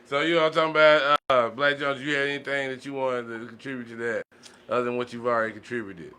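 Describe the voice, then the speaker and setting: Bright-voiced man hosting casually. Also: mid-range voice, steady tone, fast talker, smooth. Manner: interjects agreement often. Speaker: podcast host. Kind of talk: casual banter. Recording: faint background noise.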